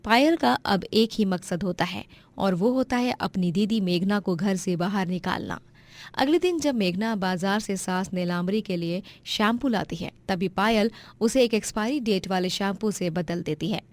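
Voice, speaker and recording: A woman's voice: mid-range voice, normal speed, darkish tone. A woman narrating a scripted moral story.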